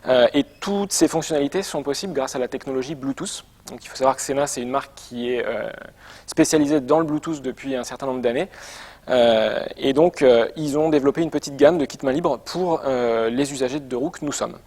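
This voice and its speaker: A man's voice: medium pitch, brisk, a little mellow. A man presenting products in an informal, semi-improvised demonstration, explaining in a conversational and somewhat hesitant way. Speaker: product presenter for a motorcycle gear shop.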